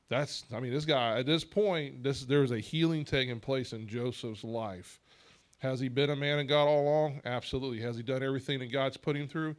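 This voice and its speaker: A man leading a church Bible discussion in an earnest, measured way, asking rhetorical questions. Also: medium pitch.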